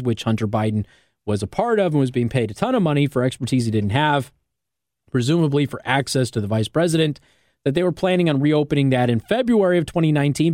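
A man's voice: mid-range voice, darkish tone, dry recording, studio quality. An American man hosting talk radio, delivering an opinionated monologue with emphatic stress on key words. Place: radio studio.

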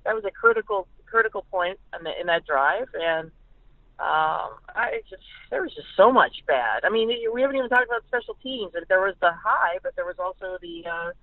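A woman speaking as a sportswriter guest over a phone line, analysing football casually and haltingly, often repeating words. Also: on the low side, big pitch swings, dry recording. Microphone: phone call. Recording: narrow, tinny phone line.

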